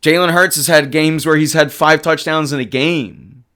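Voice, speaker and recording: A man hosting a live sports talk stream, casually answering chat and arguing football. His voice is hoarse, and he gets emphatic when he runs through stats. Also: fairly high pitch, normal speed. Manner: animated and emphatic, rattling off stats. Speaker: livestream sports show host.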